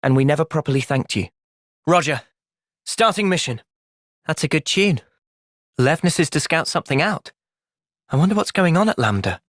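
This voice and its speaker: Young male soldier, video game cutscene, earnest, thoughtful, gentle, expressive pitch, dry recording. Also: moderate pace, long pauses, no echo. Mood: calm, reflective.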